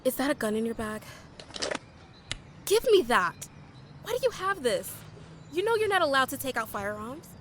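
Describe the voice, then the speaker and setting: A woman's voice: high-pitched, sing-song, normal speed, long pauses, a bit bright, slight background noise. An actress playing a stern, protective woman in charge, scolding and commanding. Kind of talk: audio drama acting.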